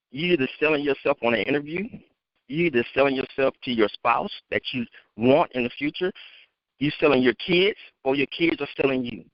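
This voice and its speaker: A man with a medium-pitched voice speaks as a motivational coach, off the cuff and straight at the listener with firm emphasis. The sound is dry and slightly muffled, from a conference call. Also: dry recording. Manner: emphatic, direct address to listeners. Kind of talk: unscripted motivational talk. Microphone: phone conference line.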